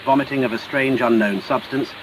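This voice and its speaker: A man's voice: mid-range voice, fairly even intonation, brisk, a loud crowd, lightly acted, poor audio. A man with a British accent playing a grave TV emergency announcer, reading formal, urgent scripted news over hissy audio.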